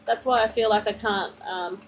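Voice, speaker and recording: A woman's voice: mid-range voice, fairly even intonation, moderate pace, soft background music, poor audio. A woman reading tarot cards for a viewer during a live stream, soothing and meandering, calling the listener honey, speaking into a phone mic. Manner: meandering, many fillers and restarts. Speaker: tarot card reader.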